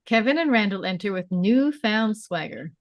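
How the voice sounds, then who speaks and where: A woman's voice: medium pitch, lively intonation, normal speed, no echo. Woman reading screenplay directions, dry sound. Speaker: stage directions reader. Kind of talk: scripted narration at a table read.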